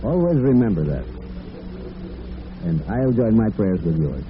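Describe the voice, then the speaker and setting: An actor playing a kindly clergyman in old-time radio drama, gentle and reassuring. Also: on the low side, big pitch swings, unhurried. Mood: comforting. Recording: noisy, tinny vintage radio recording.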